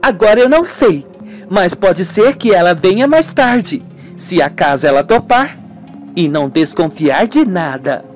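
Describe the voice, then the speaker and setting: Man doing a blunt, high-pitched young woman. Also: animated, unhurried, soft background music. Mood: grumbling. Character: young Japanese woman, stern, grumbling, plotting sister. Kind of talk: radio melodrama acting.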